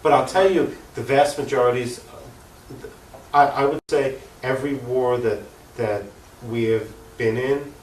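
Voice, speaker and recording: An American man comes through a roomy, slightly distant mic with faint noise behind him. He has a medium-pitched voice and speaks in an earnest, emphatic way, like an activist answering questions in an unscripted interview. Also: a little slow. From United States. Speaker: interview guest, political activist. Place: echoey room, cable TV interview.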